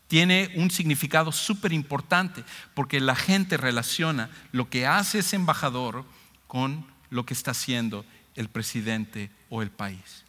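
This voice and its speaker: A male preacher with a Latin American Spanish accent delivers a sermon in a bright, forceful voice that sits slightly high. He is emphatic, restating key phrases as he explains scripture to a congregation. Long reverb from a large hall trails his words. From Ecuador.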